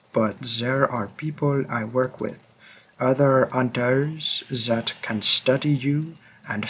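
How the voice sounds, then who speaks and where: A grim vampire hunter, acted by a man with an affected French accent speaks straight to the listener in an audio roleplay. The delivery is slow, deliberate and driven, at a middling pitch, over audible background noise. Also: fairly bright tone, poor audio. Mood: intent, persuasive. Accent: attempted French. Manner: slow, deliberate, halting intensity.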